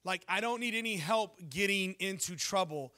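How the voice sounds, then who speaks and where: A man in his thirties preaching a sermon on a church stage into a handheld mic, telling lively stories and asking the congregation questions. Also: sits high, moderate pace. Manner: animated storytelling preacher, jokes and asks the crowd questions. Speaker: pastor.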